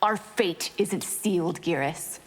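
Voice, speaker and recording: Defiant vampire woman, slightly low pitch, game dialogue with loud noise behind. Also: slow pace. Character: proud, defiant female vampire. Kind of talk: video game character dialogue. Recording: long reverb, heavy noise.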